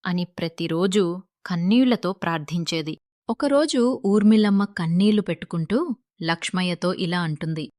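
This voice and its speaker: A woman's voice: fairly low pitch, moderate pace, a little mellow, no room sound, studio quality. A woman narrating a scripted moral story as the storyteller.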